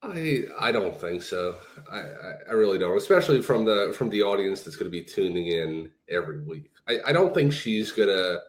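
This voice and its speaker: Stammering low-voiced man, echoey room. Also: sing-song, moderate pace, few pauses. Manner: stammering, repeats words before getting going. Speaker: podcast co-host. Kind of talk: casual wrestling talk.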